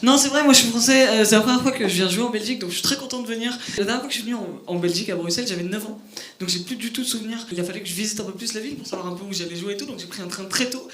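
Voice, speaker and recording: Fast-talking young Parisian comic, high voice. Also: male voice, a bit bright. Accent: Parisian French. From France. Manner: quick, self-mocking, with a youthful high voice. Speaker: stand-up comedian. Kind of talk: stand-up comedy set. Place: comedy club stage before a laughing audience. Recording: echoey club with audible background noise. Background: audience laughter.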